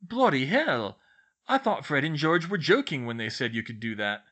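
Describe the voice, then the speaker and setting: Wanted: man to voice an astonished, blunt storybook schoolboy, bright and high, big exclamations. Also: sing-song, normal speed. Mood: startled. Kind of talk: audiobook character voice.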